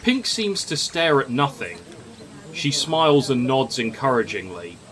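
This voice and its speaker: A man's voice: medium pitch, very animated, unhurried, a bit bright. A man reading scripted story narration. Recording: music under the voice.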